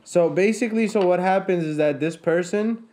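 A man's voice: high-pitched, normal speed, a little mellow. A young man co-hosting a podcast, explaining at length in casual chat with many restarts and fillers, recorded by a camera across a table. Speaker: podcast co-host. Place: room with a conference table.

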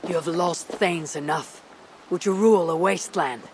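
A woman playing a stern, weary Viking warrior leader in a video game, grave and measured. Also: lowish voice, a little hiss or hum, close to an everyday voice. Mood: firm. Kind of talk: dramatic game cutscene acting.

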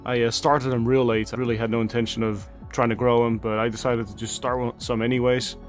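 A man's voice: mid-range voice, little pitch movement, fairly quick, faint music behind. A man giving a casual, enthusiastic tour of his home garden outdoors, chatting with fillers as he films on a handheld camera. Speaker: gardening channel host.

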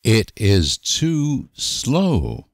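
A man reading short example sentences for language learners with deliberate, careful enunciation. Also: on the low side, huge pitch swings, no echo, studio quality. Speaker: language teacher.

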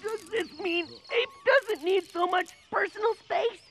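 An actor voicing a friendly, dim-witted cartoon jungle man, naive and excitable, with music behind. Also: very high-pitched, a little slow. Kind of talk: exaggerated cartoon acting.

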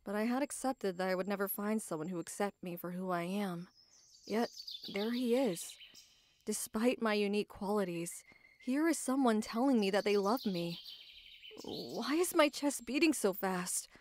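A woman's voice: mid-range voice, lively intonation, moderate pace, slight background noise, lightly acted. A woman playing a tough, proud female knight, guarded about love, speaking earnestly and formally in an anime ASMR roleplay. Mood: wondering, nervous.

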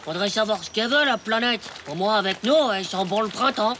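An actor voicing a mischievous, excitable ten-year-old boy in a French animated comedy, whiny and full of exclamations. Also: medium pitch, fast, background noise, natural voice. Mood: indignant, cocky. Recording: loud music under the voice.

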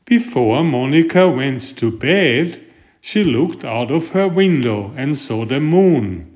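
A man reading a children's picture book aloud in a deliberate, expressive storytelling manner. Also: medium pitch. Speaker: storybook reader and author.

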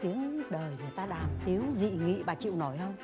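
An actress playing a blunt, gossipy older neighbour in a comedic drama, needling and insistent, with a Southern Vietnamese accent. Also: female voice, fairly low pitch, big pitch swings, fast talker, over music. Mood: conspiratorial, coaxing.

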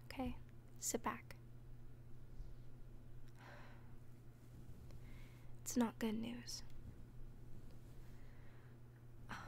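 A woman playing an obsessive, falsely gentle young caretaker in an ASMR roleplay, speaking softly and breathily with tender, halting hesitation. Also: on the high side. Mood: hushed, grave. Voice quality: soft, breathy whisper. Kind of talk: whispered ASMR roleplay, personal attention.

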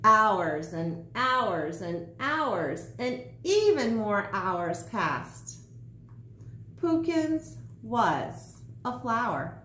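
A woman's voice: mid-range voice, very animated, extremely slow, sharp tone, roomy sound, a little hiss or hum. A woman in her forties, a teacher, reading a children's picture book aloud in a small room.

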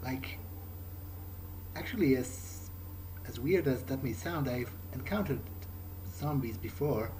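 Male playthrough narrator, in character, mid-pitched, hesitant and unhurried, over noisy game ambience. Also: expressive, darkish tone. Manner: hesitant, tentative, halting. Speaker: gamer role-playing his character. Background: video game ambience.

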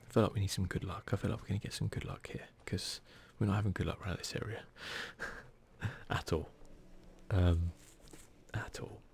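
A man softly giving casual ASMR commentary over a video game, often slipping into a whisper, with a self-deprecating manner. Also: deep voice, fairly even intonation, normal speed, creaky, a little hiss or hum. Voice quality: soft-spoken, often whispery. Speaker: gaming video host.

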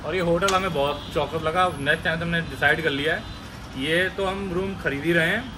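A man's voice: on the high side, fairly quick, background noise. A man vlogging casually outdoors at a hotel, chatting in a playful, teasing way. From Lucknow, India. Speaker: travel vlogger. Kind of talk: casual vlog chat.

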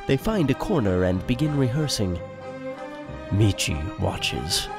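Male narrator, radio play, lively theatrical delivery, music under the voice. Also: fairly low pitch, a little slow, vocal fry.

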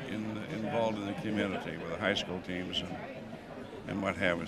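Older guy rambling through an interview, low voice, lots of chatter around him. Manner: rambling, halting, with many restarts. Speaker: donor interviewed at stadium opening. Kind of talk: casual interview. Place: crowded indoor hallway. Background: crowd chatter.

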